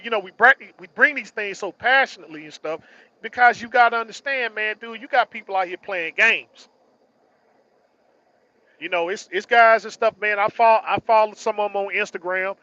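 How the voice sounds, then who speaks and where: A man's voice: sits high, sharp tone, dry recording, muffled recording. A man in his early forties giving a casual, slangy motivational monologue, emphatically repeating phrases for stress. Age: early 40s. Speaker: truck driver vlogger.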